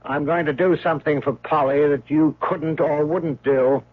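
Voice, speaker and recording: Scheming, imperious guardian, muffled radio. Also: male voice, on the high side, fairly even intonation, normal speed, husky. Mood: cold, menacing. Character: scheming, domineering male guardian, coldly threatening, self-serving. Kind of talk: 1970s radio drama acting. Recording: muffled old radio broadcast.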